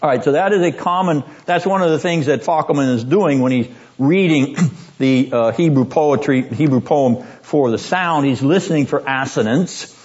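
A man teaching a seminary class on biblical poetry, deliberate and emphatic, repeating his points and often clearing his throat. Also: on the high side, darkish tone. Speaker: seminary lecturer. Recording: dull lo-fi classroom recording.

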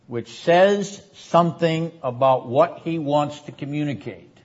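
A man giving a theology lecture as a seminary academic, speaking in a deliberate, emphatic manner with rhetorical repetition. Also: on the high side. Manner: frequent throat-clearing. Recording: dull, muffled lo-fi lecture recording.

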